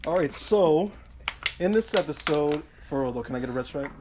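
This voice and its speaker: A young man with a slightly higher-pitched voice hosts a loose podcast panel. He is laid-back and keeps steering the chat back on track over his friends' banter. A faint hiss sits under the recording. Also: lively intonation, normal speed. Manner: laid-back, hosting over side chatter. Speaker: podcast host.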